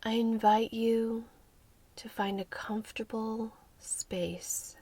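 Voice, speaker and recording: A woman hosting an ASMR session in a soft, breathy, whispery voice, speaking gently and soothingly with measured phrasing. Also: fairly high pitch, flat delivery. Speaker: ASMR host.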